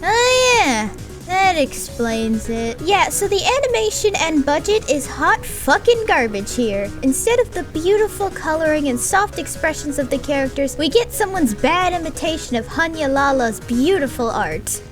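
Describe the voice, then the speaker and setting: A woman's voice: sits high, big pitch swings, moderate pace, soft background music. A woman giving a sarcastic, swearing anime review with mock-dramatic asides. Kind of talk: sarcastic video review commentary.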